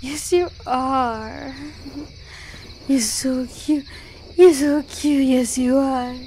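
Girl doing a doting teasy goddess sorceress for asmr roleplay, slow n cooing, bit of background noise. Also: high voice, darkish tone. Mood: cooing, teasing. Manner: cooing, teasing, affectionate. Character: playful, doting goddess sorceress babying her partner.

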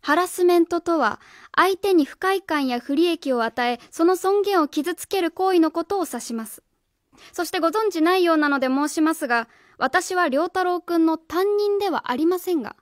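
A woman's voice: very high-pitched, animated, moderate pace, no echo, studio quality. A woman playing a stern, earnest young schoolteacher in a radio drama, formal, polite and probing. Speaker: voice actor.

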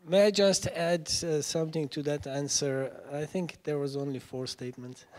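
A middle-aged man moderating a seminar panel off the cuff, halting and deliberate as he searches for words. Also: fairly high pitch, fairly even intonation, a little mellow. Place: lecture hall stage, seated on a panel. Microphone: handheld microphone.

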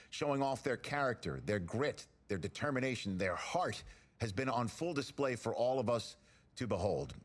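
An earnest actor playing a male sports TV pundit with a mid-pitched voice. He delivers college football commentary for a video game, admiring and emphatic, stacking up phrases for weight. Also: close to an everyday voice. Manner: emphatic, rhetorical, builds up in lists.

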